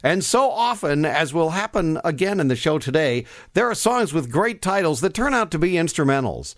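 A man's voice: a little high, sing-song, normal speed, fairly bright tone, dry recording, clean studio sound. A man hosting an oldies radio show, chatting casually and wryly between records, teasing his listeners with a few fillers along the way.

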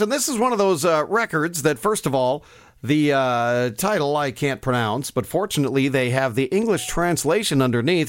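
A man's voice: a little high, fairly bright tone, no echo, studio quality. A man hosting an oldies radio show, chatting casually and wryly between records, teasing his listeners with a few fillers along the way.

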